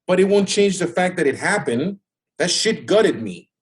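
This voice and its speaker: A man's voice: high voice, lively intonation, normal speed, slightly rough. A man acting in an audio drama as a hurt, exasperated young father rebuffing his ex. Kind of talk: naturalistic scripted audio drama.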